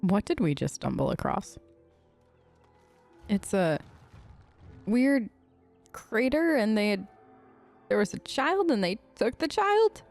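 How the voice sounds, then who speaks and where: A woman's voice: mid-range voice, big pitch swings, slow, vocal fry, pauses often, darkish tone, faint music behind. A woman doing casual, chatty role-play commentary as she plays a video game in character. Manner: conversational, playful, chatty. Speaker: gamer playing in character.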